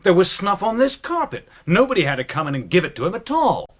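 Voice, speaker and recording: A brash American knife-thrower in a radio drama. His slangy speech swings dramatically up and down, pitched a bit high for a man, with an excitable, showy energy. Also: fast. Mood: defensive. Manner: slangy, animated.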